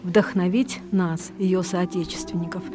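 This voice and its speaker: A woman reporter voices scripted news narration in a measured manner. Her voice sits fairly low and stays flat, with little rise and fall.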